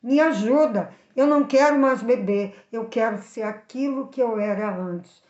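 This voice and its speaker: A woman's voice: on the high side, unhurried, darkish tone, close to an everyday voice. A Brazilian woman plays a troubled, remorseful father in a children's moral story. The delivery is earnest and confessional, with a Brazilian accent. The sound is echoey and a little dull. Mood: pleading.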